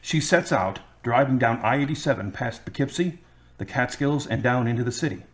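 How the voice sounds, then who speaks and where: A man's voice: mid-range voice, fairly quick. A man reading scripted narration for an audio drama.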